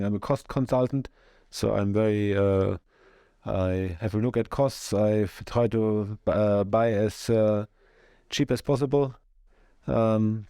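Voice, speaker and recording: A man speaks in a podcast chat about his work. His low, dark voice barely rises or falls. The recording is dry and close. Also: dry recording. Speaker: co-owner of a real estate firm.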